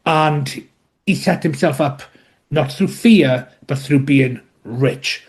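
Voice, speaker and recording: A man from West Wales with a Welsh accent, a historian explaining his subject in a podcast interview with measured, dryly humorous asides. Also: on the high side. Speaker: guest historian.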